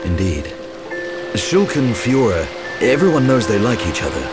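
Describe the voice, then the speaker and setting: A man playing a gruff but caring young soldier and protective older brother in a video game, speaking in a dry, measured way. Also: lowish voice, big pitch swings. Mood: wistful, concerned. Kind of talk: video game cutscene voice acting. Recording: loud music behind the voice.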